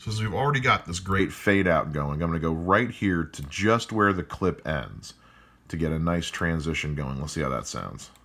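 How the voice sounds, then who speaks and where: A man with a loud voice calmly walking through how he edits a podcast episode, step by step. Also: deep voice, moderate pace, vocal fry. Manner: calm step-by-step explaining. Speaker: podcast editor. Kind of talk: explaining a screen-recorded editing walkthrough.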